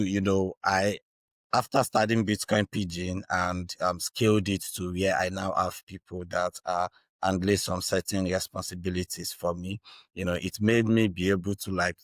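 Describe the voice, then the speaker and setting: Male Nigerian interview guest, native Igbo speaker, low voice, earnest explainer, close dry sound. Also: no echo. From Anambra State, Nigeria. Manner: earnest, checks in with tags like "right" and "you know". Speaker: interview guest, Bitcoin educator.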